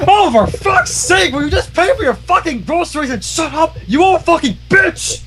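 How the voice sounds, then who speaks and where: A man voicing a frazzled female schoolteacher pony from a cartoon fanfiction, with an explosive temper and forceful outbursts. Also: big pitch swings, normal speed, exaggerated voice. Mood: furious. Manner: bursts into high, forceful outbursts. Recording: noisy home livestream microphone.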